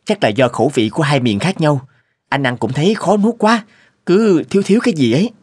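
A man's voice: a little high, lively intonation, a little fast, a little mellow, breathy edge, no room sound. A man playing a concerned, well-meaning young husband in a cartoon, fussing expressively. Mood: dissatisfied. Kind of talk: cartoon dialogue.

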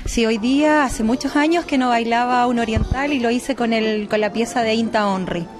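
Female dance teacher, middle-aged, slightly high voice, informal interview. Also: moderate pace. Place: small backstage room. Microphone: handheld microphone held by interviewer.

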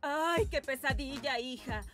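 A woman's voice: high voice, unhurried, over music. A woman voicing a nagging, sarcastic cartoon scold with a chiding, sardonic manner.

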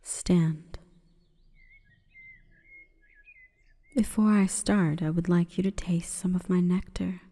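A woman voicing a seductive, solemn female plant spirit in a breathy, formal, commanding whisper for an ASMR roleplay. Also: fairly low pitch, fairly even intonation, measured pace, dark tone. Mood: softly commanding, seductive. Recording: long reverberant echo.

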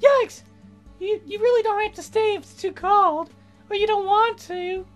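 A man voicing a dramatic, romantic young woman songwriter from a visual novel in a gushing falsetto. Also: moderate pace, faint music behind. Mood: earnest, playful. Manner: melodramatic, gushing.

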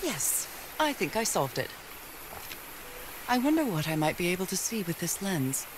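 An actress playing an inquisitive, anxious 1930s woman explorer in a video game, thinking aloud haltingly with old-fashioned wonderment. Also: lowish voice, big pitch swings, noisy background. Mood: puzzled, intrigued. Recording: game ambience and effects behind voice.

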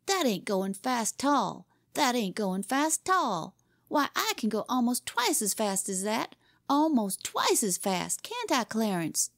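A woman's voice: high-pitched, very animated, takes it slowly, creaky, no echo, pitched above the performer's own voice, exaggerated voice. A woman voicing a boastful, pompous small boy in a storybook, insistent and given to repeating himself. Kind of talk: storybook character reading.

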